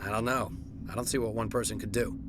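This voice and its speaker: A man's voice: fairly low pitch, steady tone, quick pace, background noise, close to an everyday voice. A man playing a weary, blunt human security captain in a video game, with a folksy, colloquial way of talking. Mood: weary, grim. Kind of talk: scripted game character dialogue. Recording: loud music under the voice.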